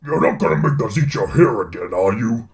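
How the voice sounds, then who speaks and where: A man voicing a menacing, brash and sarcastic alien symbiote monster with a growling, gravelly voice, in a narrated story. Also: mid-range voice, lively intonation, a little fast, distant mic.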